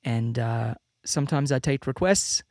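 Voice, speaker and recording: Australian-raised man, 30s, half-American accent, easygoing livestream host, mid-pitched, dry mic, soft music underneath. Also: unhurried, no echo. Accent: mixed Australian-American. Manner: relaxed, rambling, many fillers and restarts. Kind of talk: casual chat to viewers. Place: home room with a slight added reverb.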